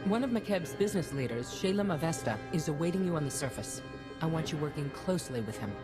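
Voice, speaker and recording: Game role: commanding female leader, low register, firm speechmaking, score underneath. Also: animated, quick pace, music in the background. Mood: resolute. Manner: stately, oratorical, firm. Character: commanding female head of state, resolute and stately. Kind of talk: scripted video game acting.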